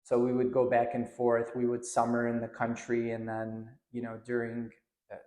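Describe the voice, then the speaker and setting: Lebanese-born man, native Arabic speaker, easygoing and halting, mid-range voice, reverberant room. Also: medium pitch. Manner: relaxed, hesitant with many fillers and restarts. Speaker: podcast guest. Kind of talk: casual interview chat.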